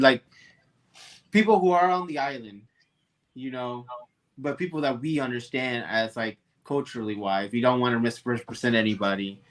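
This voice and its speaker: A man from Puerto Rico, talking earnestly in a casual livestream chat, halting with fillers. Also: mid-range voice, a bit bright. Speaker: comic co-creator.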